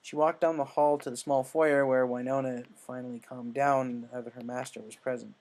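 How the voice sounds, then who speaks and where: Male story reader, mid-range pitch, scripted narration, measured delivery, occasional stumbles. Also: level tone, a little mellow, poor audio.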